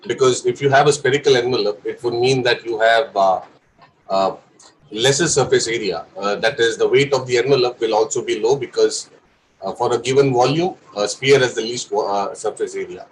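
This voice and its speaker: A man's voice: mid-range voice, brisk, a little hiss or hum, low-quality recording. A young man with an Indian English accent and a cough, giving a technical lecture with frequent fillers. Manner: careful explanation with frequent fillers. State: has a cough. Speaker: presenter of a course session.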